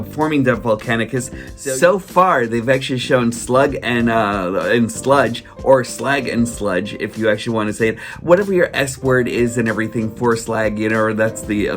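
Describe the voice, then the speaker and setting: A man talking casually to his viewers in a vlog, hoarse from a cold, rambling with lots of fillers and restarts. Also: medium pitch, fairly bright tone. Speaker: toy collector vlogger. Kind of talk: casual solo vlog chat.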